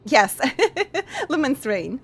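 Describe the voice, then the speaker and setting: A young woman streaming a game and chatting casually with her viewers, giggling often and pausing to restart her sentences. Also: high-pitched, big pitch swings, a bit bright, slight background noise. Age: young adult. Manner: giggly, halting with many pauses and restarts.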